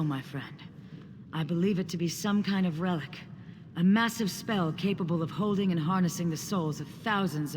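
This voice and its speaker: An actor playing an earnest guild comrade in a fantasy video game, grave and urgent, with formal and deliberate phrasing. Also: male voice, a little high, darkish tone, lightly acted. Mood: solemn, trusting. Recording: long reverb, faint background noise.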